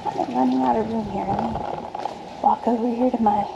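A woman's voice: fairly high pitch, steady tone, brisk, vocal fry, dull audio, noisy background. A woman in her thirties chatting casually to camera outdoors, thinking aloud as she sorts her dumpster finds. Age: 30s. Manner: chatty, thinks aloud while sorting items. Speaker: vlogger sorting dumpster finds. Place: outdoors on a backyard patio.

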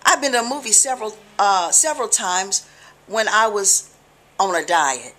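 A middle-aged woman with an American accent talks to the camera in a small living room. Her voice sits at an average pitch. She teaches in a conversational, deliberate way, stressing points and checking in with the listener. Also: animated, sharp tone. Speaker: religious video blogger. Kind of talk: informal teaching talk to camera.

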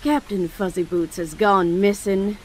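A woman's voice: medium pitch, fairly even intonation, measured pace, a little mellow, slight background noise, close to an everyday voice. A woman playing a worried, pleading elderly widowed neighbor in an audio drama, with a plaintive, fussy manner.

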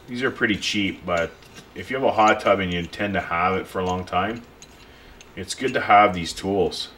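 Deep voiced guy walking you thru a pump repair at his bench, clicky tool noise in the background. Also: normal speed, fairly bright tone. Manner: plain, unpolished explaining while working, talking to the viewer. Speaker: pool and spa repair technician. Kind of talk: casual hands-on repair tutorial. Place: workshop bench.

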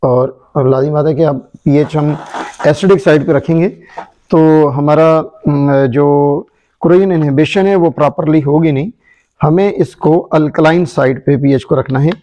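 A man explaining a formulation step by step, unhurried and hesitant, in an indoor workshop. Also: on the high side, mellow, a little hiss or hum, rough recording. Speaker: chemistry channel presenter. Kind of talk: hands-on formulation demonstration.

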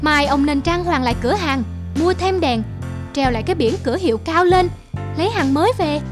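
A woman's voice: sits high, lively intonation, brisk. A woman voicing an earnest, respectful young grandson in a cartoon moral tale, explaining politely and eagerly. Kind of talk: animated moral tale dubbing. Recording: music behind the voice.

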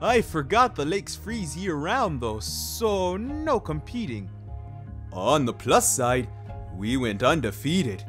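Man playing an eccentric landlord, swinging pitch. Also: big pitch swings, slow, faint music behind. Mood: proudly chirpy. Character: eccentric, chirpy male landlord, naively earnest. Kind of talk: scripted audio story dialogue.

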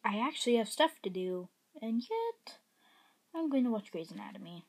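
A young woman talks into a phone held at arm's length in her bedroom, her voice husky and a touch high. She rambles and pokes fun at herself, often sinking into soft whispers. She sounds a little stuffed up, as if coming down with a cold. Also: big pitch swings, normal speed. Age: young adult. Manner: rambling, self-mocking, drifts into soft whispers. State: congested. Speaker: vlogger. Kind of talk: casual vlog chat. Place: bedroom, indoors. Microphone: phone camera at arm's length.

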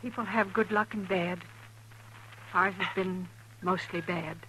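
An actress playing a wary, weary, poor homesteader's wife with a rural Western American accent, guarded and plain, in a 1950s radio western. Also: female voice, fairly low pitch, steady tone, a little slow, bright, forceful tone. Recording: hissy, thin vintage 1950s radio recording.

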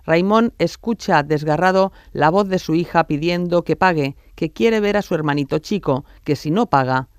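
A man's voice: a little high, moderate pace, hardly any pauses, clear, resonant tone, dry recording, studio quality. A man from reading scripted true-crime documentary narration.

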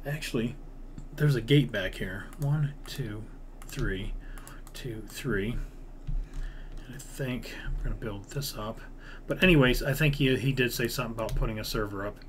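A man with an average-pitched voice narrates his own game build in a casual, rambling way, thinking aloud and half-muttering as he goes. He is recorded indoors at a computer, with clear background noise and typing. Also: moderate pace. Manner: rambling, half-muttering counts, mild swearing. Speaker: gaming video creator narrating his own build series.

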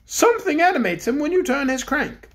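A woman voicing a stately, kindly male bear king who speaks in a measured, courtly way. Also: high-pitched, big pitch swings, voice pushed up.

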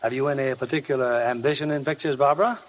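A man's voice: medium pitch, steady tone, fast, slight background noise. A man with an American accent hosting in a scripted 1950s radio presenting style. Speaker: host and announcer.

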